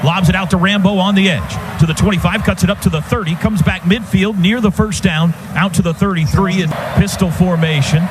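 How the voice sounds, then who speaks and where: An American man calling football play-by-play live on radio in clipped running phrases that build to shouts on big plays. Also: high voice, brisk, few pauses, over music. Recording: noisy stadium radio broadcast.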